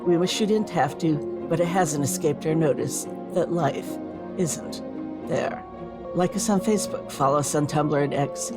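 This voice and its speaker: Woman podcast host, deep for a female voice with vocal fry, casual interviewing.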